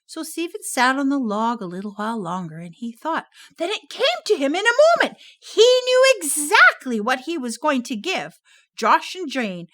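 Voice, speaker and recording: A woman narrating a children's puppet story in an animated, sing-song manner. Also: high voice, moderate pace.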